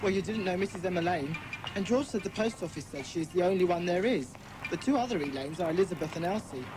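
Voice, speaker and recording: Woman playing a low-toned, bossy schoolboy leader. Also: animated, fast, over music, close to an everyday voice, poor audio. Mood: reassuring. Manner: commanding, sensible. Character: bossy, sensible schoolboy leading a children's club. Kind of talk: dramatised children's audiobook acting.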